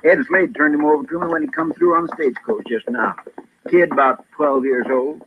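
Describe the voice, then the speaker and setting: A man plays a loyal, deferential frontier deputy in a radio Western. His voice sits a little high, with plain rural American speech. The old recording has clear background noise. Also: a little fast, narrow, tinny audio, close to an everyday voice. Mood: earnest. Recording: noisy vintage radio recording.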